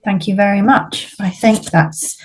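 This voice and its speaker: A woman presenting in an online webinar over a video call, halting and using many ums. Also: mid-range voice, slight background noise, poor audio. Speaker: webinar speaker.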